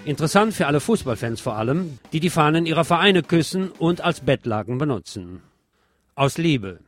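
A man presenting scripted radio news commentary as host, wry and deliberate, with emphatic phrasing. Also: a little high, big pitch swings, faint music behind.

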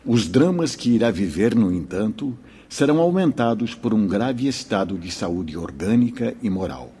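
Very husky, solemn Brazilian spiritual mentor. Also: male voice, fairly low pitch, big pitch swings, warm tone, full character voice. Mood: grave. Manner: measured, didactic, solemn. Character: wise, solemn male spiritual mentor, a fatherly priest. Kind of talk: Portuguese-language radio drama acting.

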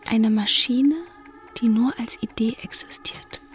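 A woman's voice: fairly high pitch, fairly even intonation, a little slow, faint music behind, lightly acted. A woman playing a pensive, musing granddaughter of a war veteran in a radio drama. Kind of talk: radio drama acting and narration.

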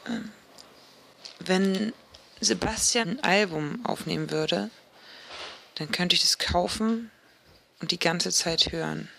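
A woman records an informal spoken diary in a halting, introspective way. Her voice is creaky with vocal fry, and she often pauses as she searches for words. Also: fairly low pitch, bright, forceful tone, a little hiss or hum. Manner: hesitant, introspective, trailing pauses. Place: recorded on a dictation device as a personal diary.

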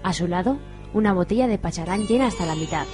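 A woman's voice: lowish voice, expressive, quick pace. A woman narrator reading a scripted radio soap opera story. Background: soft music.

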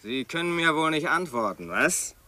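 A man's voice: a little high, sing-song, moderate pace, bright, forceful tone, dry recording. An actor playing a drunken, insolent young man who sneers and taunts in a 1950s German radio drama. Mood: sneering contempt. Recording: faintly noisy 1950s radio recording.

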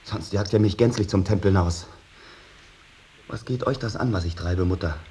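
A man's voice: low voice, moderate pace, dark tone, slightly rough. An actor playing a sullen, cynical young man in a German radio drama, mocking, dismissive and insolent. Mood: defiant. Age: young adult. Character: sullen, cynical, defiant young bohemian son.

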